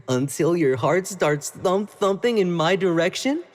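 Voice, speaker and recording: A man's voice: high-pitched, slow pace. A man playing an obsessive, jealous young pop idol in a dramatic roleplay monologue, speaking intimately to the listener and swinging between sweet pleading and cold condescension. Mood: menacing, possessive.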